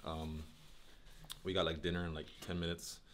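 A chatty man in his thirties films himself on a handheld camera during a team road trip, tossing out quick updates to his viewers. He laughs easily and keeps the tone loose and casual. The camera mic picks up plenty of noise around him. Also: sits low, little pitch movement. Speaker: basketball player vlogging a team road trip. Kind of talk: casual travel vlog. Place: moving between cars, a gas station and a hotel. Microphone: handheld camera at arm's length.

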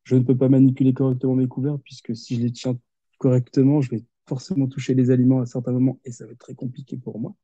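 Man explaining calmly on a muffled call. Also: mid-range voice, husky, dry recording. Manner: measured, explaining with examples. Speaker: occupational therapist guest. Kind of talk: explanatory interview talk. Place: home office with window. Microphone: headset on video call. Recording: muffled video call audio.

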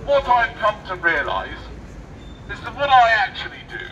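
A man's voice: high-pitched, big pitch swings, normal speed, pushed and bright, muffled recording. Man ranting through a distant megaphone. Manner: rambling, argumentative, repetitive questioning. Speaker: street performer with a megaphone. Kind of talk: provocative street speaking through a megaphone. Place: outdoors on a busy city street at night. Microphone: far camera. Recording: distant, noisy city street recording.

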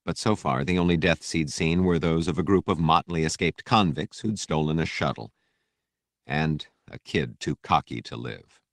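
A man's voice: extremely low pitch, normal speed, dry recording, clean studio sound. A man narrating a novel as a scripted, dramatic audiobook reading. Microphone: close studio microphone.

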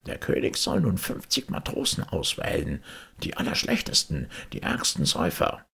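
A man reading a fairy tale aloud as an expressive storyteller. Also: extremely low pitch, normal speed, vocal fry, breathy edge. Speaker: storyteller, audiobook reader.

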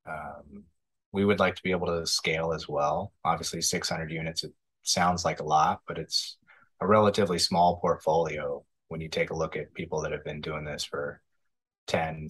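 A man in his thirties with a very low, husky voice. He answers in a measured, thoughtful way, pausing on ums. He is on a video call from a room at home. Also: a bit bright, poor audio. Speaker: podcast guest, real estate investor. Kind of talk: casual interview answers.

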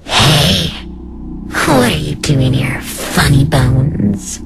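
Creaky, taunting otherworldly captor. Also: mid-range voice, full character voice. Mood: menacing. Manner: slow, drawn-out taunting. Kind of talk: animated comic dub acting. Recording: voice under loud music.

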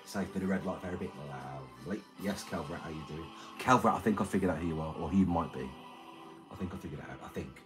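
A man in his thirties with a very deep, slightly damaged voice, chatting casually and crudely while reading his livestream chat in a small room. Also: fast talker, music in the background. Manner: rambling, crude, jokey. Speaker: livestream host. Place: small room with red curtain and red light.

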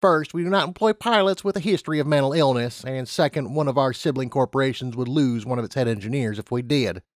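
A man's voice: medium pitch, quick pace, few pauses, no room sound, clean studio sound, lightly acted. A man voicing a stern, disdainful aging female unicorn personnel officer in a storybook reading, formal and condescending. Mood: matter-of-fact.

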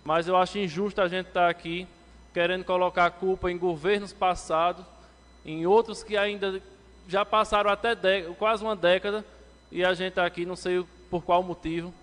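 A man in his thirties from Brazil, a city councillor giving a formal speech at a lectern to the council, addressing the chair and rising in pitch when he presses a point. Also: level tone, moderate pace, fairly bright tone. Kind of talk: legislative floor speech. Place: council chamber, indoors, medium-sized. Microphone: lectern microphone, standing. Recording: long reverb from a council chamber.

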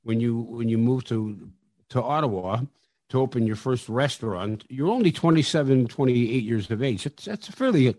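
A man hosts a podcast interview, his voice sitting at a middle pitch. He often stops and restarts mid-phrase while asking questions. The sound is dry and close. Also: animated, a little mellow, no room sound. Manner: halting, repeats words. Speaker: podcast host.

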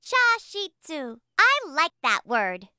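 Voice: high-pitched, wildly swooping pitch, slow, bright, forceful tone, no room sound, studio quality, full character voice. A performer voicing an eager, friendly little kindergarten girl in a children's cartoon, bubbly and keen. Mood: eager, curious.

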